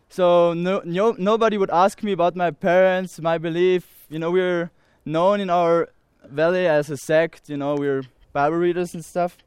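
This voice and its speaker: A nineteen-year-old man from Austria with an Austrian German accent, giving an earnest personal testimony to an audience in halting English full of pauses and restarts. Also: sits high, clear, resonant tone, no echo, clean studio sound.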